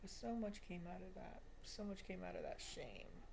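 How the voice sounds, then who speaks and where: A woman's voice: medium pitch, moderate pace, creaky, noisy background. A woman of twenty giving a confessional video diary monologue in an emphatic, repetitive, self-deprecating way. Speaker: vlogger.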